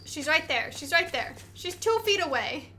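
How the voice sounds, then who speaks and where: A woman's voice: sits high, expressive, moderate pace, pushed and bright. A woman giving casual, reactive let's play commentary on a video game. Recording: noisy, game sound behind. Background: video game audio.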